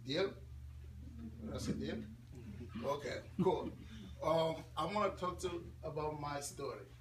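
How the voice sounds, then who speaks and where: A man's voice: a little high, slow. Congolese man speaking in a roomy space. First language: not English. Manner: conversational, repeats phrases for emphasis. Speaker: guest speaker. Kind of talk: informal talk to students. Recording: roomy, distant mic, clear background noise.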